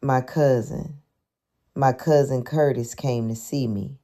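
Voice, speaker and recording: Frail, bedridden woman, played by a female narrator: very low, dark murmur, slow and wistful, for an audio story. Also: fairly even intonation.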